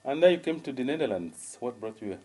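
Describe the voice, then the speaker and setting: A woman interviewer with an unusually deep, dark voice. She talks quickly and fires off short prompting questions. Her questions often carry a teasing edge. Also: sing-song, slightly rough, rough recording. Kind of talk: casual conversational interview.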